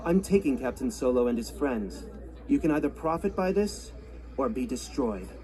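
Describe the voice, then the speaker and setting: A man's voice: medium pitch, lively intonation, moderate pace, mellow, lightly acted. An actor playing an earnest, resolute young male Jedi hero in a storybook drama. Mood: calm, threatening. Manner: earnest, firm. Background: music.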